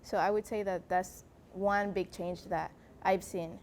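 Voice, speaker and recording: A woman's voice: mid-range voice, fairly even intonation, unhurried, darkish tone, a little hiss or hum. Earnest young woman from Paraguay. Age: young adult. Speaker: student panelist.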